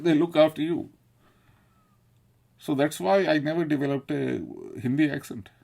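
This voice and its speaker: Gravelly man rambling with frequent laughter. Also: on the high side, fairly even intonation, normal speed, a little mellow. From South India. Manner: digressive, laughing often, swearing. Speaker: video essayist. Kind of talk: casual rambling monologue. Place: London.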